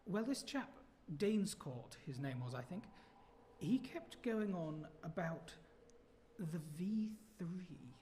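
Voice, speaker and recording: An actor in a period radio drama playing a young, well-spoken English ex-soldier, jovial and full of old-fashioned exclamations. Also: male voice, high-pitched, expressive, takes it slowly, dark tone, a little hiss or hum. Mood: wry storytelling.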